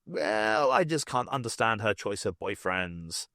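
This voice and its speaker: A cruel, mocking storybook king, put on by a male reader growls his lines in a lower, slower voice. His talk stays drawn out and heavy, close and dry. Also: animated, bright, forceful tone, dry recording. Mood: self-pitying. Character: moody male king, cruel then self-pitying.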